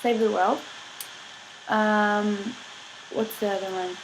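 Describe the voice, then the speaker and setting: A young woman rambling in a casual vlog, filling every pause with "like". She is sick, and her voice sounds a little husky. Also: medium pitch, steady tone, a little hiss or hum, low-quality recording. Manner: chatty, filler-heavy, giggly, says "like" constantly. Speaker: vlogger.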